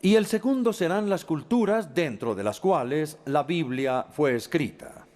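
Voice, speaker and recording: Brief: male voice-over for a lecture, slightly high voice, lively intonation, measured with small hesitations. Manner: measured and explanatory, with hesitant fillers. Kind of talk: dubbed lecture.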